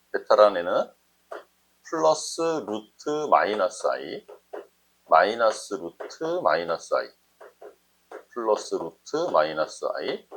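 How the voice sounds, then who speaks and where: Male math tutor, husky voice with animated intonation; informal, explains step by step and checks in often. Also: low-pitched. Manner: conversational, often checks understanding with short questions. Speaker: math teacher. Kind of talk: informal explanatory math lesson, thinking aloud.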